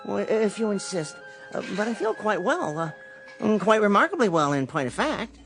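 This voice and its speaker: Casting: timid, fussy little man for radio drama, high swooping voice, stammering, music behind. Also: big pitch swings, moderate pace, creaky, heavily acted. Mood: meek, polite. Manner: stammering, fussy, over-polite. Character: timid, meek, polite little vacuum-salesman, easily bullied.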